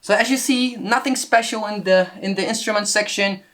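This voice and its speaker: A man's voice: sits high, a little fast, fairly bright tone. A man who produces music, talking through how a track was put together. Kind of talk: production walkthrough.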